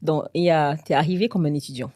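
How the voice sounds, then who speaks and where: A woman's voice: low voice, fast talker, mellow, dry recording, clean studio sound. A woman hosting a podcast, putting her guest at ease in a friendly, reassuring way. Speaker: podcast host. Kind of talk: casual interview.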